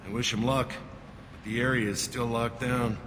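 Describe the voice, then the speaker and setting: An actor playing a gruff, cynical alien checkpoint guard in a game, talking in a dry, curt and dismissive way. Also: male voice, mid-range voice, steady tone, moderate pace, close to an everyday voice. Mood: matter-of-fact. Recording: reverberant, with loud background noise.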